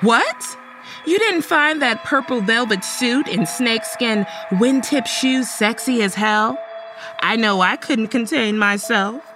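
A woman's voice: fairly high pitch, lively intonation, slow, over music. A woman playing a burnt-out, anxious, sardonic young college student in an audio horror drama. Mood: wry. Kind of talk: audio drama acting and first-person narration.

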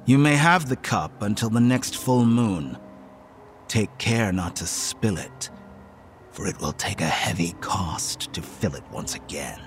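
An actor playing an enigmatic, disdainful supernatural man in a horror audio drama, speaking in formal, cryptic phrases with a hushed voice. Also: on the low side, lively intonation, unhurried, soft background music. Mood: cold, solemn.

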